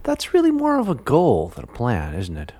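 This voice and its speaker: A man voicing a large, weary male businessman who speaks in a dry, low-key, reluctant way. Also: huge pitch swings, moderate pace, background noise.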